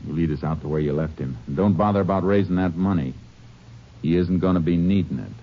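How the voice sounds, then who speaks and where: An actor playing a calm, shrewd Texas Ranger who tracks outlaws and narrates, in a 1950s radio drama. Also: male voice, deep voice, quick pace. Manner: calm, clipped, matter-of-fact. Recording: dull old radio broadcast recording.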